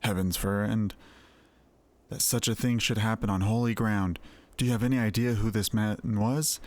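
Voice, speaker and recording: A man voicing a troubled, earnest fantasy game character, reading the dialogue in a deliberate way. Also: fairly low pitch, steady tone, dry recording, natural voice. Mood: alarmed, urgent.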